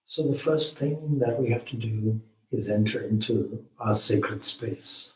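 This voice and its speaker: Slow, deep-voiced man guiding meditation, roomy echo. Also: a little hiss or hum. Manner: measured, deliberate, short phrases with long pauses. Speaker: spiritual teacher leading meditation. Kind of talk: guided meditation instruction. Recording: roomy echo, distant microphone.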